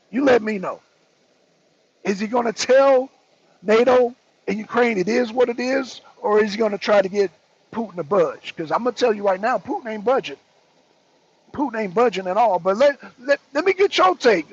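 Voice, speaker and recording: A man's voice: high voice, slightly rough, dry recording. A man hosting a political talk show, giving blunt, emphatic commentary and repeating phrases for effect. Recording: dull lo-fi audio.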